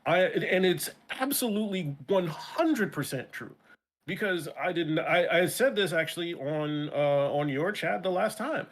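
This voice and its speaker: A man with an American New York accent, a guest in a casual interview chat, talking in an animated way and often restarting his words. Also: on the high side, a little slow. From Brooklyn, New York.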